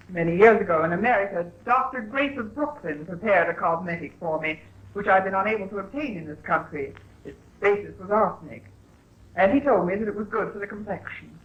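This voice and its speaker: An actress playing an accused wife making a formal, earnest plea from the dock in a 1950s radio crime drama. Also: female voice, mid-range voice, lightly acted. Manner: formal, deliberate, earnest courtroom statement. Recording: echoey, muffled old radio recording.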